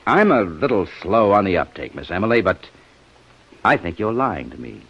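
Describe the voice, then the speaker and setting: Old radio detective inspector, lowish voice, dry and sardonic, keeps probing, dull vintage sound. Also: male voice, big pitch swings, moderate pace, a bit bright, breathy edge, heavily acted. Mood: sardonic, accusing. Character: shrewd, sardonic, methodical male police inspector. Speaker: radio actor. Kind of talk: 1950s radio detective acting. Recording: muffled old-time radio broadcast recording.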